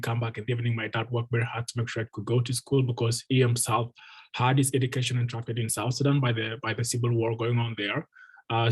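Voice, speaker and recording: A man's voice: medium pitch, darkish tone, slightly rough. A man in his twenties from Ethiopia, a guest speaker and refugee advocate, telling his life story earnestly on a video call with many ums and uhs. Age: 20s. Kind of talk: personal story told at an online meeting.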